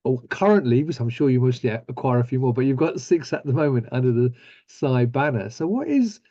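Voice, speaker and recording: A man's voice: mid-range voice, expressive, brisk, mellow, no room sound, dull audio. A man hosting a business interview, asking friendly, upbeat questions. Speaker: host. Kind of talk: business interview over video call.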